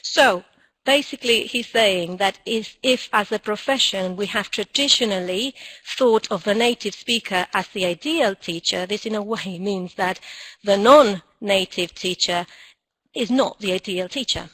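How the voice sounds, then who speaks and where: A woman's voice: medium pitch, unhurried, a bit bright, no room sound, low-quality recording. A woman, not a native English speaker, giving an earnest conference talk with frequent ums and self-corrections. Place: indoors, recording from Cambridge.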